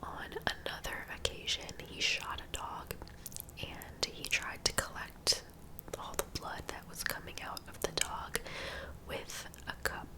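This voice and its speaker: A woman whispering softly and informally in a breathy ASMR storytelling style, taking her time. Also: poor audio. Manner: soft, unhurried, informal. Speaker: ASMR host narrating true crime.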